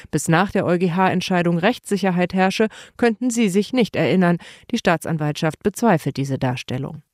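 A woman's voice: fairly low pitch, a little mellow, no echo, studio quality. A woman reading a scripted news report as a radio reporter.

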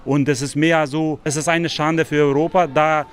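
A man's voice: on the high side, steady tone, normal speed. A man from Kosovo, not a native German speaker, giving his opinion in a street interview. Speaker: interviewed passer-by.

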